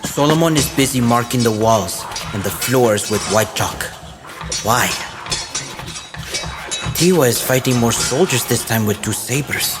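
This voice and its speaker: An actor playing a team mechanic who narrates an action adventure in the first person. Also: male voice, mid-range voice, a little slow, long pauses, natural voice. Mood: tense narrating. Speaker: character narrator. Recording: loud music bed. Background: loud music.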